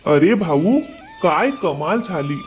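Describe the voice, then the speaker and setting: A man's voice: sits high, very animated, slow, soft background music, heavily acted. A man voicing a greedy, scheming elder brother from a village, exclamatory and bossy, in a cartoon moral story. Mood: disbelieving.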